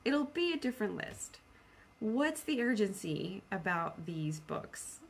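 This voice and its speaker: A woman's voice: medium pitch, sing-song, slow pace, creaky, a little hiss or hum. A woman chatting casually as a book vlogger, rambling and self-deprecating, repeating phrases for emphasis and acting out her own thoughts. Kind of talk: casual, rambling video chat about personal plans.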